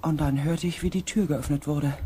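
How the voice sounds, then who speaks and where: An actor playing a shaken space expedition member in a radio drama, with a husky, breathy voice and a hushed, halting manner. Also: male voice, a little high, fairly even intonation, a little hiss or hum, natural voice. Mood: tense, hushed. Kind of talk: radio drama narration and acting.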